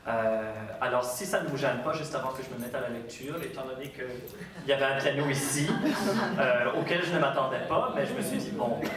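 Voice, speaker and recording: A man with an Acadian French accent, an author giving a public talk and reading his own writing in a measured, unhurried way. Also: medium pitch, over a noisy crowd. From Acadia, Canada. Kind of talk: lecture and literary reading. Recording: very echoey hall, distant mic.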